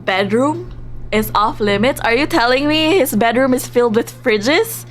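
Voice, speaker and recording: A woman livestreaming a visual novel, reading story text aloud and commenting on it in an animated, reactive way. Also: fairly high pitch, moderate pace, slight background noise. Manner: animated, reactive, giggly, drops into hushed narration. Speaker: streamer playing a visual novel live.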